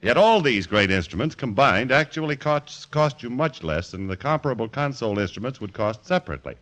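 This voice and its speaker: Male vintage radio announcer: bright, forceful, swooping intonation, polished and emphatic pitchman delivery. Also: expressive, a little fast. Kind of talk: 1940s radio announcing, scripted ad read.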